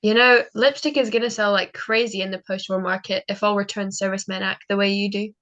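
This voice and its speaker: A woman playing a loving young wartime wife in a 1940s-style radio drama, affectionate and gently wry. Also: medium pitch, fairly even intonation, fast, close to an everyday voice. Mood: wry, teasing. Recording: dull muffled audio, faint music.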